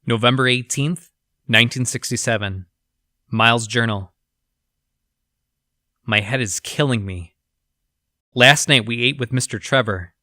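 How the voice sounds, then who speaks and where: A man plays a male 1960s scientist in an audio drama, reading out his grim journal. His voice is bright and forward at a middle pitch. He reports in a measured, clinical way with an uneasy undertone, recorded completely dry. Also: no echo. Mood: weary. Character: 1960s male lab researcher recounting grim experiments, unsettled.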